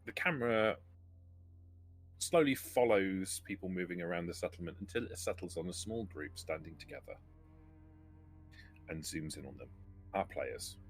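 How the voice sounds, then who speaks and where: A man working as game master, setting scenes in measured tabletop roleplaying narration. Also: deep voice, animated, faint music behind.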